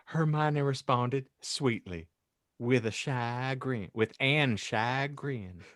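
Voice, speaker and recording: A man's voice: medium pitch, slow pace, a bit bright, dry recording, clean studio sound. A man narrating a comic audiobook with mock-earnest, theatrical delivery. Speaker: podcast host and narrator.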